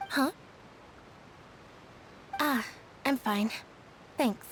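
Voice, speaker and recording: A woman playing a quiet, reserved young woman in a video game, subdued, breathy and melancholy. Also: sits high, extremely slow, heavy background noise. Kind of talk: English video game voice acting.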